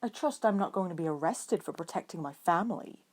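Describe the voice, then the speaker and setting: A woman reading the part of a calm older man, a protective father, in a measured, polite and firm way. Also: on the low side. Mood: coolly firm.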